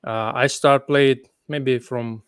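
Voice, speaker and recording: Sleepy Ukrainian man, slow halting English. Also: medium pitch, fairly even intonation, clean tone, no room sound, clean studio sound. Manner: halting non-native English with many fillers. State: sleepy late at night. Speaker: guest guitarist. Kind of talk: casual interview chat.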